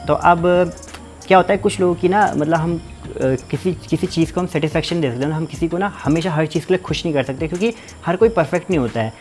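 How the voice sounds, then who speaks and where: A man's voice: on the high side, darkish tone, soft background music. A young man from Uttarakhand, chatting informally as a vlogger in his village home. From Uttarakhand, India. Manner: quick, repetitive, informal, self-explaining. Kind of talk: casual family vlog chat.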